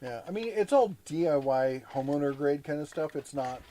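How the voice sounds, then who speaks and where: A man's voice: on the high side, animated, moderate pace, mellow, a little hiss or hum. Rambling, enthusiastic male tool host. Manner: rambling, restarts often, enthusiastic. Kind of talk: casual livestream chat.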